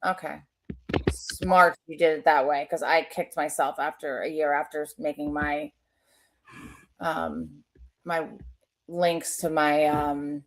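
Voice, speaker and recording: A woman's voice: sits low, steady tone, takes it slowly, pauses often. A woman hosting a webinar over a video call, chatty and rambling, with lots of fillers as she tells stories. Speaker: webinar host. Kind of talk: casual webinar conversation.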